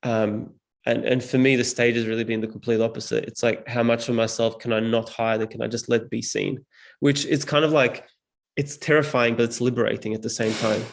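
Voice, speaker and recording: A young man from Serbia, whose first language is Serbian, talks in an earnest, reflective way as an interview guest. His voice has a gravelly fry and stays in a narrow pitch band. He sits at a middle pitch and leans on casual fillers as he thinks aloud. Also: little pitch movement, fairly quick. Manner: thoughtful, earnest, frequent "like" fillers. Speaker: guest, public speaking teacher.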